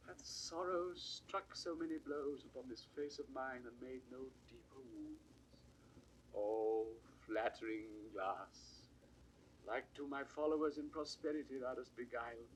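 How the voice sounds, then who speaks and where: A man's voice: mid-range voice, natural voice, rough recording. An English actor playing a grief-stricken, proud deposed king in declamatory Shakespearean verse, in a 1960s audio drama. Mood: bitter grief. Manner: declamatory, drawn-out theatrical verse delivery. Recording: vintage studio recording, faint hiss.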